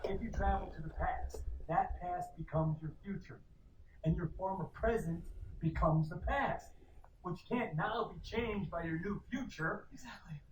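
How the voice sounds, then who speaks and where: A man's voice: sits high, measured pace. A man speaking film dialogue, heard as playback in a room. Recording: muffled roomy film playback, distant, noisy.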